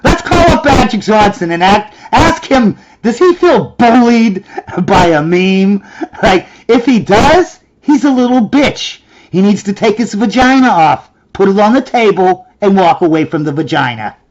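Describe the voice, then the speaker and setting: A man's voice: sits high, sing-song, a little slow, dull audio. A man hosting a reaction video, ranting in a sarcastic, scornful way and mocking the clip he watches. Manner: sarcastic, scornful, mimics in a whiny voice.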